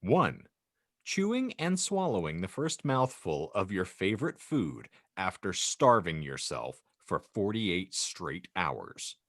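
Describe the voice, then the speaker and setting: Guy hosting a comedy podcast, deep voice, swoops around a lot, wordy and mock-grand, dry close sound. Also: sing-song, slow pace, a bit bright, no room sound. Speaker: podcast host.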